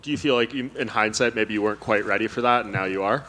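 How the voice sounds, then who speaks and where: Male press reporter, fairly low voice, conversational questioning. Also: fast talker, sharp tone. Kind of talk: asking questions at a press event.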